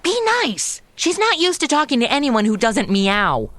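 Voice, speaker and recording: A woman's voice: fairly high pitch, very animated, normal speed, a bit bright, dry recording. A woman playing a flippant, sarcastic young American tourist with slangy modern delivery in a comedy audio drama.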